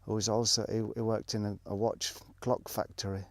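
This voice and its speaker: A man's voice: lowish voice, little pitch movement, fairly quick, creaky, dry recording. A man, casually recounting his travels in a rambling way, with plenty of fillers and restarts. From England. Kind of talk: casual spoken storytelling.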